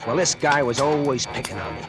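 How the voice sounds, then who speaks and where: An actor playing a sullen, spiteful factory worker. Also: male voice, mid-range voice, fairly quick, close to an everyday voice. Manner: tough-guy slang, whiny and defensive. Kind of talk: 1940s radio acting. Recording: old radio broadcast with music.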